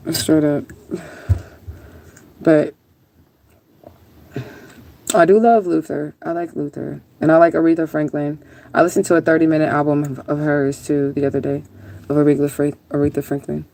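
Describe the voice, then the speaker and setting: A woman's voice: low voice, little pitch movement, normal speed, creaky, long pauses, mellow. A woman chatting casually on a livestream and answering viewers in a rambling, laid-back, sometimes profane way. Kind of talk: casual live chat, answering viewer comments.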